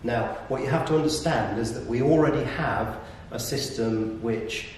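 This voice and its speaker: A man explaining business tips conversationally, in hesitant, measured phrases. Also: mid-range voice. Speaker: property business operator giving tips. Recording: echoey room, distant mic, faint noise.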